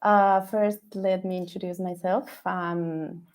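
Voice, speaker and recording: Woman presenting, halting delivery. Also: on the low side, flat delivery, a little mellow. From Ecuador. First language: Spanish. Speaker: data analytics student presenting. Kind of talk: student presentation. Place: remote video call.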